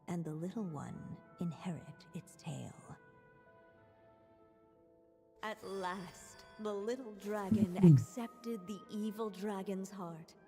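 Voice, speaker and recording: A woman narrating a fairy-tale story in a game, calm and measured. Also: mid-range voice, in a large hall.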